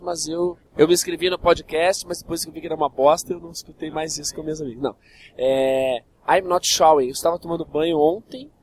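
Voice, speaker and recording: High-voiced Brazilian man bantering. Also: a little mellow, a little hiss or hum. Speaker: comedy podcast panelist. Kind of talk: casual joking group chat.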